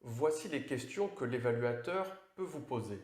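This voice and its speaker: A man presenting an online French course, clearly explaining how an oral exam works. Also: mid-range voice, animated, normal speed, a little mellow, roomy sound. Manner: clear, instructive. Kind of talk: scripted exam tutorial narration.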